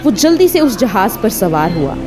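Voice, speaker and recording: A woman narrating a scripted story dramatically, with deliberate pauses and emotive emphasis. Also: mid-range voice, sing-song, over music.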